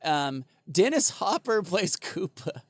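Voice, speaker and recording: A man's voice: high voice, sing-song, slow, no echo. A man co-hosting a podcast in casual chat, rambling and swearing, laughing often and full of fillers. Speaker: podcast co-host.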